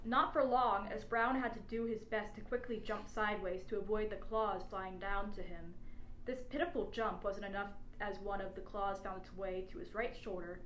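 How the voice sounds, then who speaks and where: A woman's voice: mid-range voice, fairly even intonation, moderate pace. A woman reading out a humorous adventure story as its narrator, in scripted prose. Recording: echoey space with faint background noise.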